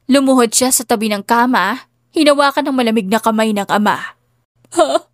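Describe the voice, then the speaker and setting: A woman's voice: on the high side, brisk, dry recording, clean studio sound. A woman narrating a scripted dramatic story as an audiobook reader.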